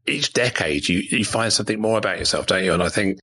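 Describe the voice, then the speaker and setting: A man in his fifties with a very low, husky voice and a bright, forceful edge. He interviews warmly in manner, affirming the guest and tagging questions onto his remarks. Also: lively intonation, fast talker. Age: 50s. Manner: encouraging, affirming, rhetorical tag questions. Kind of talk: conversational interview.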